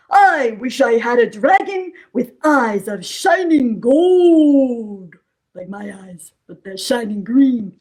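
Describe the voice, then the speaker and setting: Woman voicing Jasper, a slow-talking, high-pitched friendly dragon. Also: heavily acted. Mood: wistful, playful. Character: friendly, playful dragon puppet named Jasper. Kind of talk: children's puppet show.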